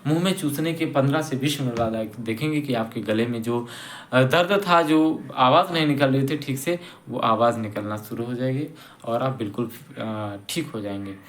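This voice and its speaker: A man hosting a health channel, giving plain instructions and often pausing to correct himself. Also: mid-range voice. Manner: plain and instructive, with many pauses and self-corrections. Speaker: Ayurveda health channel host. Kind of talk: informal explanatory talk to camera.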